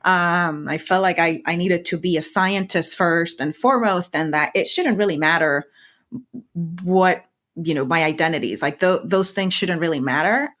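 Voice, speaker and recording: A woman's voice: on the low side, normal speed, fairly bright tone. A woman from Puerto Rico in her thirties whose first language is Spanish, speaking reflectively as a podcast guest and often restarting her phrases. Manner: reflective, with frequent restarts and repeated words. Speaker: guest scientist. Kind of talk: podcast interview.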